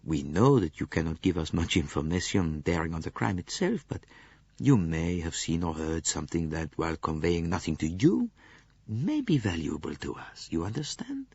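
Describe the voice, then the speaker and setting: A man voicing a shrewd, genial Belgian detective in an audiobook, with a French-speaking Belgian accent and a deliberate, emphatic delivery. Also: sits low, sing-song, darkish tone, husky, no room sound, heavily acted. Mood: gentle. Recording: muffled lo-fi audiobook recording.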